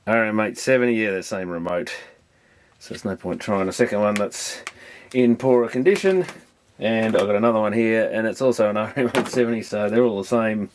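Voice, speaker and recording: A man's voice: lowish voice, slight background noise. A man giving casual, hands-on repair commentary, thinking aloud in a rambling, matter-of-fact way as he works through electronics. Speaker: electronics repairer presenting a video.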